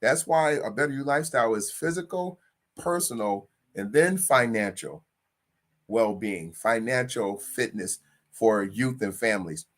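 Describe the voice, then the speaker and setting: A man of sixty hosting a talk, speaking conversationally, repeating phrases and rising in pitch to stress points. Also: expressive, unhurried. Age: 60s. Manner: conversational, repeats himself, rises in pitch for emphasis. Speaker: host. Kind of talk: motivational talk.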